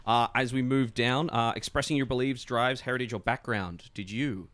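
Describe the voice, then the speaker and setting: A man running a tabletop roleplaying game as game master, speaking in a halting, encouraging way. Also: mid-range voice, fairly bright tone.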